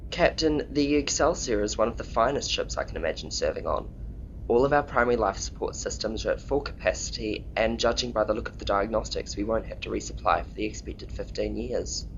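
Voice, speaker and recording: A man's voice: brisk, natural voice. A man playing a proud, eager chief engineer in a science-fiction audio drama, reporting with enthusiasm. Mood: proud, businesslike. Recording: dull track with faint rumbling noise.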